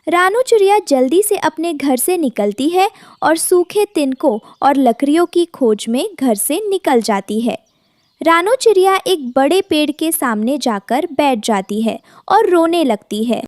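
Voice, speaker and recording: A woman's voice: sits high, expressive, unhurried, dry recording, clean studio sound. A woman narrating a scripted cartoon story.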